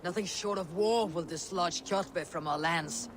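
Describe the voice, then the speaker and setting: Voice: mid-range voice, measured pace, noisy background, natural voice. An actor playing a proud, defiant young Viking warrior in a video game, blunt and challenging. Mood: resolute. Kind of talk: dramatic video game acting.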